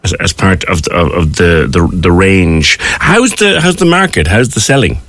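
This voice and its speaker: A man's voice: low voice, animated, moderate pace, fairly bright tone, no room sound. A man, chatting encouragingly as he hosts a conversational interview. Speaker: radio-style interview host.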